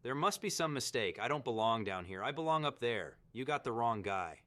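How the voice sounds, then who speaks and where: Man playing an insistent, bright-voiced lawyer. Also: mid-range voice, sing-song, fairly quick, slight background noise. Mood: bewildered, indignant. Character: cocky, indignant male defense lawyer arguing his case. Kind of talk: audio horror anthology drama acting.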